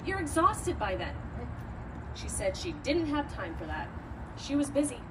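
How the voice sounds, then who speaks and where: A woman on stage plays a wry female narrator in a comic reading. Her fairly high voice is picked up from a distance, with clear background noise. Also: sing-song, measured pace. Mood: wry, exasperated. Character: wry female narrator relaying women's interviews. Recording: distant mic, noisy live venue.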